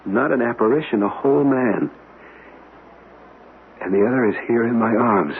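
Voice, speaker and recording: An actor playing an earnest, courteous gentleman in a ghost mystery, measured and polite in a radio drama. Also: mid-range voice, vocal fry, lightly acted. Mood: shaken, grave. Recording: narrow, noisy old radio broadcast.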